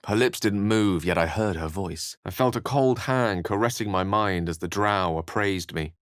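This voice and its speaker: A man's voice: lowish voice, moderate pace, smooth, dry recording, clean studio sound, lightly acted. A man voicing a refined, witty wizard for a video game, speaking in an articulate, theatrical, wry way. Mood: grave storytelling. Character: eloquent, witty, refined human male wizard. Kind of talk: video game character voice lines and narration.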